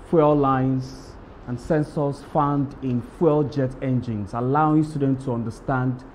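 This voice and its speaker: A man giving a measured, recited technical explanation. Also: medium pitch, a little mellow, a little hiss or hum.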